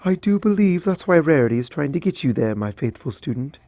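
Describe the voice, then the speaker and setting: A man voicing a gentle, regal, motherly pony princess in a fanfic reading, calm, hushed and kindly. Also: on the high side, a little fast, dry recording, voice pushed up.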